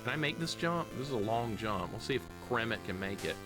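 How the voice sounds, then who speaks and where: A man's voice: medium pitch, animated, fast. An American man in his forties from the southern US, chatting casually with viewers and grumbling in sweary, joking exasperation as he plays a video game. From southern United States. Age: 40s. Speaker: live streamer playing a video game. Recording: game music behind the voice. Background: retro video game music.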